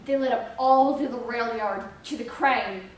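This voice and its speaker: A woman playing an excitable, horrified young small-town witness, telling the story in a dramatic stage style. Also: on the high side, measured pace, lightly acted. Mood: awed, uneasy. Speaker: actor in a student readers theater group. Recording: very echoey hall, distant mic.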